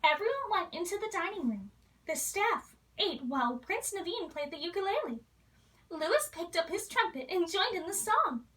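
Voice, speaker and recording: A woman reads a storybook aloud for children. Her voice is extremely high and bright, with lively swings in pitch. She sounds set back from the microphone. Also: moderate pace, recorded from a distance. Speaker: children's story reader appearing as a princess.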